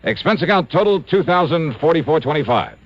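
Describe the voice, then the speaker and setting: Dry, wry radio detective; low, lively delivery; muffled vintage broadcast, music under. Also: male voice. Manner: dry, wry narration and brisk talk. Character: wry tough male insurance investigator. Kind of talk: 1950s radio drama acting. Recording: dull old radio broadcast with faint music.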